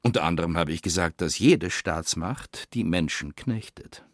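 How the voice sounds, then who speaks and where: An actor playing a weary, bitter novelist, reading in a deliberate, brooding literary radio-play manner with theatrical pauses. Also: male voice, sits low, no echo, clean studio sound. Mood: measured, grave.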